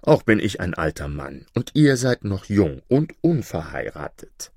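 A man's voice: deep voice, very animated, unhurried, mellow, no echo, studio quality, heavily acted. A man voicing an older grand vizier turned stork, grumbling and worried. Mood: fretful.

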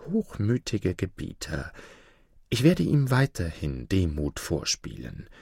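A man voicing a sly, servile male fox who hides his scheming behind humble, soft-spoken flattery. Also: breathy edge, no room sound, clean studio sound, heavily acted. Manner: obsequious, soft and high, sometimes whispering.

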